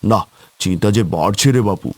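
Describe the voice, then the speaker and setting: A man's voice: on the low side, a little fast, no room sound, lightly acted. A man voicing an anxious, scolding villager in a cartoon, fretful, folksy and full of questions. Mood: worried, chiding. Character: anxious, scolding village man among tea-stall regulars. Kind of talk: cartoon character acting.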